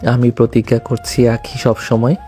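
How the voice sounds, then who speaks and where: Man acting a tender, mellow young groom. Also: mid-range voice, monotone, normal speed, faint music behind, close to an everyday voice. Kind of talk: audio love story acting.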